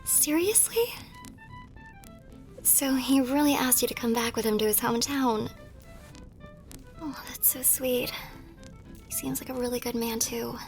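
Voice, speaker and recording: A woman playing a tender, wistful young army nurse in an ASMR romance roleplay, with a soft, breathy voice that often drops toward a whisper and an emotive delivery. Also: a little high, a little slow, long pauses. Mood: excited, warm. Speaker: voice actor in a romance audio roleplay. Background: music.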